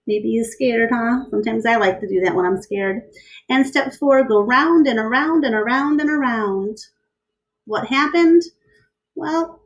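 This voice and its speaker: A woman's voice: mid-range voice, rough recording. A woman reading a children's book aloud like a teacher, explaining as she goes and putting questions to young listeners. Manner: instructive, asks the listener questions, enunciates steps.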